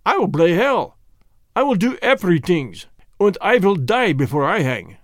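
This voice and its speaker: A man voicing a cunning, excitable condemned man who taunts in broken English with a thick Germanic accent, as in an audiobook story. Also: sits high, moderate pace, dry recording, clean studio sound. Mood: desperate, furious.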